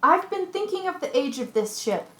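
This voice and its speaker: A woman's voice: high voice, very animated, normal speed, bright, forceful tone, heavily acted. A woman playing a sensible, stubborn castaway in an old-fashioned radio adventure play. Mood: insistent. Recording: roomy, echoing recording.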